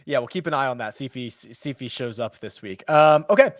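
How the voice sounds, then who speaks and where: A man hosting a casual golf betting chat, brisk and analytical, who laughs easily. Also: medium pitch, expressive, no echo, clean studio sound. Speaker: golf betting show host.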